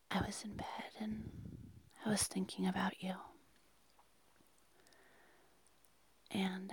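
A seductive woman, played by an actress, whispers as a secret night-time lover in an intimate audio roleplay. Her voice is extremely breathy and hushed. She takes her time, coaxing and pleading insistently. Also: mid-range voice, full character voice. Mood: coy, coaxing.

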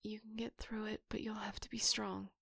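A woman performing an intimate audio roleplay in a soft, breathy whisper, close to the microphone. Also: mid-range voice, flat delivery, normal speed, no echo.

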